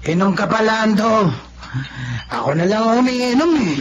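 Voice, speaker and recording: High-pitched, heartbroken drunken young man. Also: animated, moderate pace, muffled recording, slight background noise. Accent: Filipino. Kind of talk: Filipino radio drama acting.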